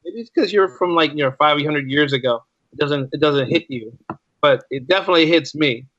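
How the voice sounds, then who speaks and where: Male podcast guest, lightly high voice, conversational, doubles back on phrases, muffled lo-fi sound. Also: fairly quick, a bit bright, no echo. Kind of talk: casual interview chat.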